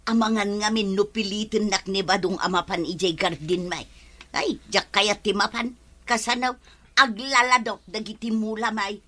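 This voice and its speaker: A woman's voice: medium pitch, fairly even intonation, moderate pace, a bit bright, close to an everyday voice. An actress playing a teasing, chatty village woman in a comedy radio drama, drawing out her words with an Ilocano accent. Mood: complaining.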